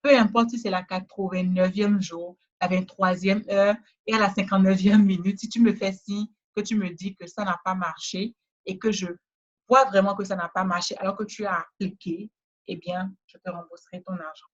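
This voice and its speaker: A woman trainer giving a persuasive, repetitive sales pitch in a webinar, speaking straight to the listener, with a West African French accent. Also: fairly even intonation, normal speed, muffled recording. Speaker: trainer selling a course.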